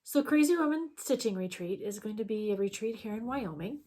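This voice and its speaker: A woman's voice: mid-range voice, lively intonation, a little fast, mellow. A woman, co-hosting a casual stitching chat, rambling and chatty with plenty of fillers. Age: 40s. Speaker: co-host.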